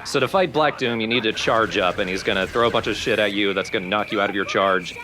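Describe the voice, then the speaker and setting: A man's voice: fairly low pitch, fast talker. A man giving casual, explanatory gameplay commentary in an informal way. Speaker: let's play commentator. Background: game music.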